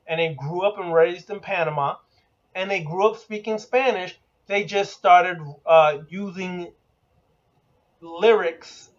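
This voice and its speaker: A man with a fairly high voice lectures bluntly and swears freely, hammering home points with rhetorical questions. He sounds set back from the microphone, and the sound is dull and lo-fi. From Puerto Rican heritage. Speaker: occult teacher. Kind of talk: profane lecture. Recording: distant, muffled lo-fi recording.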